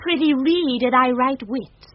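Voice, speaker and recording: An actress playing an imperious, quick-tempered noble lady in a radio Shakespeare comedy. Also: high-pitched. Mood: commanding. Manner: commanding, expressive. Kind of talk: 1970s British radio Shakespeare acting. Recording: muffled old radio broadcast, faint noise.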